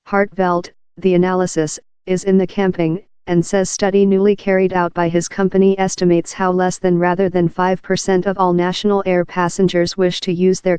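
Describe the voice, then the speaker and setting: Article narrator, scripted read, middle pitch, flat intonation, exceptionally clean tone, impersonal delivery. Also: clear, resonant tone, no echo. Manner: reading aloud, impersonal and uninflected.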